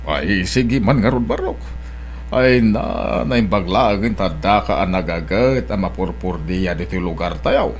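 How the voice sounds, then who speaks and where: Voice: deep-voiced man playing kindly older father. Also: unhurried, a little hiss or hum. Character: kindly older rural father and farm employer. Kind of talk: Ilocano audio drama acting.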